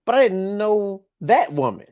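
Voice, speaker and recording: Slow, joking man on a dry mic. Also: sits high, dry recording. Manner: joking, animated, stumbles and restarts. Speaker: podcast host. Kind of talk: casual interview chat.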